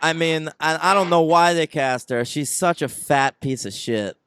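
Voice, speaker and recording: A man co-hosts a casual film podcast, joking and laughing as he runs through the cast. His voice sits a little high and comes across smooth and resonant. The sound is close and dry, with no room around it. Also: normal speed, fairly bright tone, no echo. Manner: joking, chatty, laughs often. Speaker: podcast co-host. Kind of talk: casual film review chat.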